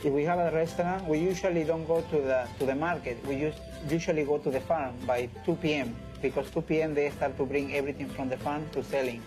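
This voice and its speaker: A man in his thirties from Peru with a Peruvian Spanish accent, a chef speaking halting English in an informal interview. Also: a little high, fairly even intonation, low-quality recording. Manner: halting non-native English with repeated phrases. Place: indoors in a restaurant. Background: music.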